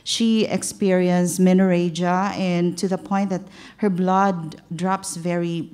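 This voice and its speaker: A woman's voice: fairly low pitch, level tone, slow pace, a little mellow. A woman on a conference panel sharing her experience as a patient advocate, hesitant and full of fillers, speaking into a table microphone in a large hall. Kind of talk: panel discussion.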